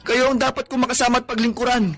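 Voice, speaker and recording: A man's voice: very high-pitched, level tone, fast, fairly bright tone, exaggerated voice. An actor playing a devoted, respectful son who pleads politely and earnestly with a cruel father in a radio drama. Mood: pleading, hurt. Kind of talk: Filipino radio drama acting. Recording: faint music behind the dialogue.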